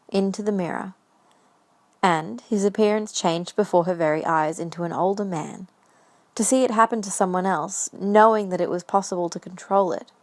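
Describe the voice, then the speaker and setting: A woman's voice: medium pitch, darkish tone. A woman reading scripted story narration for an audio drama as the narrator.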